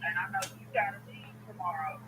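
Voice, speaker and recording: A man talking quietly in short replies to the vlogger. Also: high voice, steady tone, a little fast, a little hiss or hum, low-quality recording.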